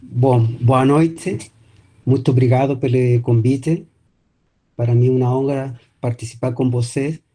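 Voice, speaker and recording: A man's voice: medium pitch, steady tone, a little slow, dark tone. A man from Chile with a Chilean accent, a native Spanish speaker, giving an online academic lecture over a video call with many hesitations and restarts. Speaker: guest lecturer.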